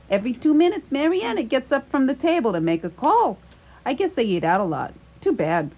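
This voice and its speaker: A woman's voice: sits high, darkish tone, heavily acted. A woman playing a shy, earnest young man on a date in a radio play, hesitant and rambling. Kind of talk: radio theatre acting.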